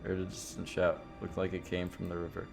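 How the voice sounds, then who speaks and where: A man's voice: low-pitched, completely flat delivery, fast talker, gravelly. A man streaming a visual novel, reading its horror narration aloud expressively and dropping in casual asides. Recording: loud music under the voice. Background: game music.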